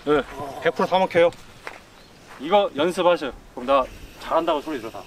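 High-voiced man coaching football outdoors. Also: a little hiss or hum. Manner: brisk instructive commands to players. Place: outdoor artificial turf football pitch.

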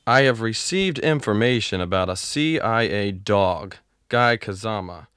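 A man's voice: on the low side, measured pace, a bit bright, smooth, no echo, studio quality. A man voicing a henchman who formally reports to his boss in a game cutscene.

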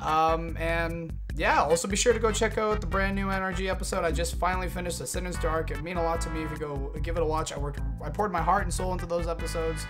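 A young man gives off-the-cuff reaction commentary in an informal, exclaiming way. He swears freely and speaks close to a desk mic at home, with music clearly playing behind him. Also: fairly high pitch, steady tone, fast, few pauses. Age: young adult. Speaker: YouTube host reacting to a video. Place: small room at home, indoors. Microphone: large desktop microphone, close.

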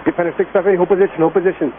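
A man's voice: high voice, steady tone, rapid-fire. A man working as an airport ground controller, giving clipped, procedural instructions over air traffic radio and repeating them. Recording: tinny noisy radio transmission.

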